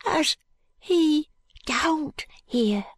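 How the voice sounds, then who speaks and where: A woman voicing a frightened, ragged London street boy in an audiobook, with a Cockney accent and hushed, halting, uneducated speech. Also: high voice, animated, no room sound, pitched above the performer's own voice, exaggerated voice. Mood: fearful.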